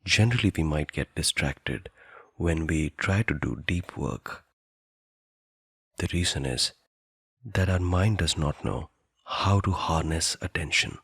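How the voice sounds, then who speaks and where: A man guiding a meditation in a soothing, deliberate manner, leaving long pauses between phrases. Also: very deep voice, fairly bright tone, slightly rough, dry recording, studio quality. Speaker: meditation guide. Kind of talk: guided meditation narration.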